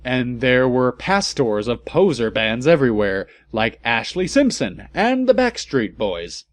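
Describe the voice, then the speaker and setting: A man's voice: mid-range voice, very animated, measured pace, no echo. A man voicing an angsty, self-absorbed teenage goth girl in a reading of amateur fan fiction. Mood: self-absorbed, brooding.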